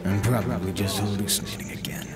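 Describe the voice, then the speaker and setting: A man performs a washed-up sorcerer drowning his grief in ale. He mutters and grumbles wearily to himself, in the manner of a dramatized fantasy audiobook, with loud music playing behind him. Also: lowish voice, steady tone, quick pace, lightly acted. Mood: baffled. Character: weary, drunken, grief-stricken male sorcerer and former scholar.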